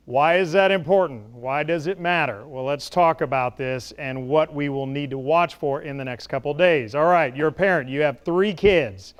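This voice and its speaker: A man's voice: fairly high pitch, moderate pace, hardly any pauses, clean tone. A man giving a broadcast weather forecast as a TV meteorologist, explaining with folksy analogies.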